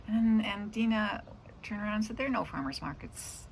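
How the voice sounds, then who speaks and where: A woman's voice: medium pitch, normal speed, slight background noise, rough recording. A middle-aged woman in casual, rambling chat about her day, drifting off into asides. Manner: meandering, trailing off into asides. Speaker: vlogger.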